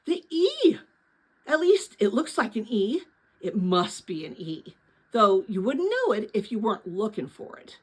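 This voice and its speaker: Lady doing an excited impatient kid, boy in a storybook, breathy, jumps way up and down. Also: medium pitch, measured pace. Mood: excited, breathless. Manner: urgent, excited rush. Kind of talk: storybook read-aloud character voice.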